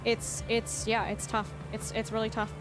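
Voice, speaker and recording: A woman's voice: a little high, monotone, normal speed. Chatty woman streaming over music. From Canada. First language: English. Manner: rambling, restarts often, laughs easily. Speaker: livestreamer. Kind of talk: casual chat with viewers while playing.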